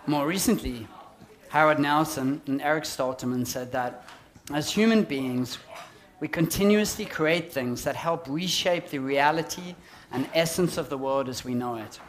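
A man in his forties with a South African accent, giving a prepared, measured public talk on design. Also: fairly high pitch.

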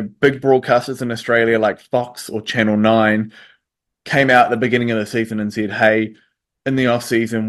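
A man, answering interview questions in a hesitant way with many pauses and restarts. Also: lowish voice. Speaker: interview guest. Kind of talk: radio interview.

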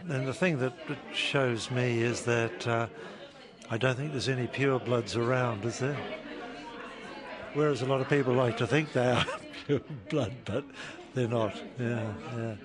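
A man's voice: mid-range voice, steady tone, unhurried. An older man talking casually about himself in an interview. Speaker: survey participant. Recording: crowd chatter behind. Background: crowd chatter.

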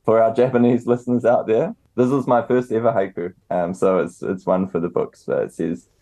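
A young New Zealand man answering interview questions in a relaxed, hesitant way, with many fillers and restarts. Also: fairly low pitch, a little fast. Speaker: author and guest.

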